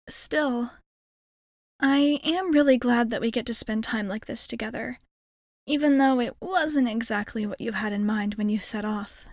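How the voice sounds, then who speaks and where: A woman's voice: a little high, no room sound, studio quality. A woman playing a shy, kind young alien girl in an intimate ASMR roleplay, speaking in a hesitant, earnest, rambling way.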